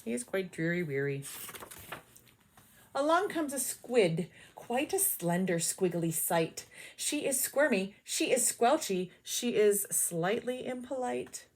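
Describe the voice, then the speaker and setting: A woman's voice: mid-range voice, very animated, takes it slowly. A woman teacher reading a picture book aloud, adding chatty comments between the pages.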